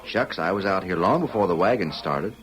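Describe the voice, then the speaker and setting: Deep-voiced, folksy homesteader husband. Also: male voice, animated, brisk. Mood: dismissive, soothing. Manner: folksy, reassuring frontier speech. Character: trusting, folksy homesteader husband, hopeful and stubborn. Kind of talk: golden-age radio drama acting. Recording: old radio broadcast recording.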